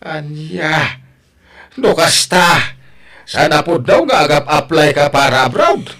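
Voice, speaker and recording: A man's voice: on the high side, animated, slow pace, pushed and bright, heavily acted. A man playing a stern father in an audio drama, speaking in an emphatic, reproachful way. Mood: angry, demanding. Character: stern, scolding father of a grown daughter.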